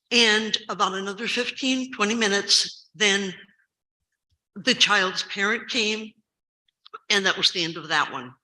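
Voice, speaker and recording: An older woman in her seventies speaks with a very bright, sharp tone and a loud voice that carries. She tells her story with urgency, leaning hard on repeated words. Her voice sits at a middling pitch. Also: low-quality recording. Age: 70s. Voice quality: loud, carrying voice. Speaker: school recess staff member giving public comment. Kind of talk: public comment at a school board meeting.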